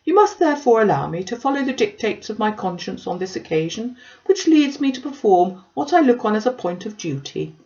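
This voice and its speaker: A woman's voice: a little high, sing-song, brisk, darkish tone, highs cut off, voice pushed up. A woman voicing a pompous, self-important and obsequious clergyman in an audiobook, wordy and formal. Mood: pompous, lecturing. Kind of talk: audiobook character dialogue.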